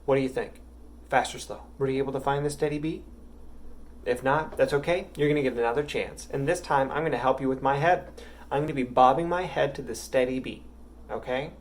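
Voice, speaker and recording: A man's voice: medium pitch, fairly quick, slight background noise. A man teaching a music lesson to young children, patient and encouraging.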